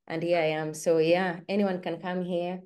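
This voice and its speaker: A woman from Kenya, hosting an online webinar in a warm, chatty manner over a video call. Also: on the low side, little pitch movement, normal speed. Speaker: webinar host.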